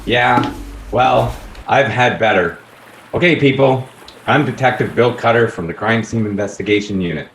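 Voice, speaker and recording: A man's voice: mid-range voice, moderate pace, a bit bright, lightly acted. A man playing a pompous, plodding, self-important police detective in a radio play. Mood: officious. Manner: pompous, repetitive, officious. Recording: echoey room, faint background noise.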